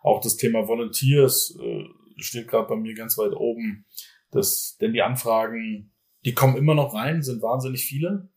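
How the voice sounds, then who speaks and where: A man's voice: mid-range voice, normal speed, darkish tone. A man from Bavaria, a football team's general manager, talking casually in a podcast and rambling with many fillers and restarts.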